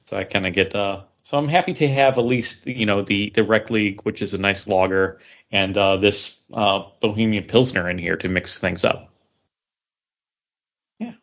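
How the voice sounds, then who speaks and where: A man's voice: on the low side, normal speed, a bit bright. A man co-hosting a podcast, chatting casually in a rambling, easygoing way. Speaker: podcast co-host. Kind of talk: casual chat. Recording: dull lo-fi recording.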